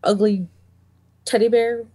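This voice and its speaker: A woman in her twenties co-hosting a casual podcast, laid-back and unhurried. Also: mid-range voice, fairly even intonation, dark tone. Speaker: podcast co-host. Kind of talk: casual chat.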